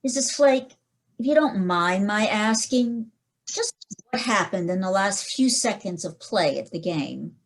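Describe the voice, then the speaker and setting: A woman playing a brisk, dry-witted male police inspector in a 1940s-style radio mystery. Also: medium pitch, animated. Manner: brisk, dry, matter-of-fact.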